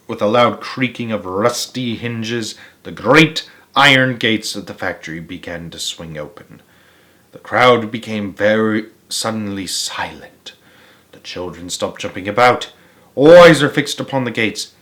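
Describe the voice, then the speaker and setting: A man narrating a children's novel aloud as a storyteller, expressive and emphatic, sometimes halting and repeating a phrase. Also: fairly low pitch.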